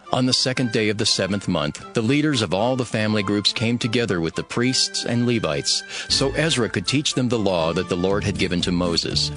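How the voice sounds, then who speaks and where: A man's voice: on the low side, a bit bright. A man giving a scripted narration of an audio Bible as its narrator.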